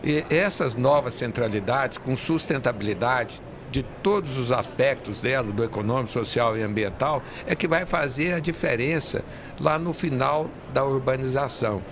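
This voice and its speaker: A man's voice: medium pitch, normal speed. Middle aged guy w explaining earnestly, noisy fair behind him. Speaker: real estate industry association leader, podcast guest. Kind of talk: conversational interview answers. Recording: busy trade fair background noise. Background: urban fair ambience.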